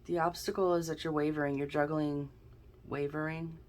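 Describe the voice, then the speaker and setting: A woman with a notably deep, low voice, a bit snuffly and stuffed-up, chatting through a tarot card reading as she talks her thoughts aloud. Her tone stays relaxed and conversational. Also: normal speed, a little hiss or hum. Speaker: tarot reader, channel host.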